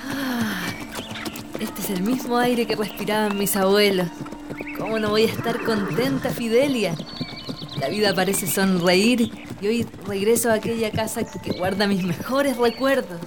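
A woman playing a young wealthy lady in a radio drama, tender and affectionate, with expressive, sighing delivery. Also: mid-range voice, unhurried, close to an everyday voice. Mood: nostalgic. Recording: loud music behind radio drama dialogue.

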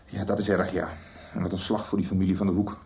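An actor playing a cold, calculating schemer in a radio soap. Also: male voice, low voice, flat delivery, quick pace, a little mellow. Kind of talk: 1990s radio drama acting.

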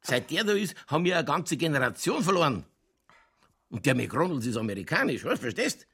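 A man playing a boozy, world-weary middle-aged police chief in a radio play, with a Lower Bavarian accent and a rambling, tipsy, cynically jovial delivery. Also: very animated, brisk, creaky, a bit bright, slightly rough, heavily acted. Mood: conspiratorial, indignant. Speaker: actor in a crime radio play. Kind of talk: naturalistic German radio drama dialogue.

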